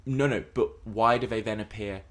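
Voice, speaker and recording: A man's voice: fairly low pitch, steady tone, moderate pace. A man co-hosting a casual film and TV discussion podcast, talking in an explanatory, emphatic way. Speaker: podcast co-host.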